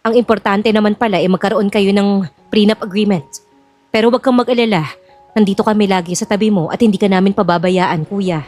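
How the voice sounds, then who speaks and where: A woman's voice: mid-range voice, steady tone, a little fast, a little mellow, faint music behind, lightly acted. Scheming middle-aged filipino auntie, done by a woman, sugary and insinuating, audio drama. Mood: falsely sweet. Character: scheming, falsely sweet middle-aged sister-in-law.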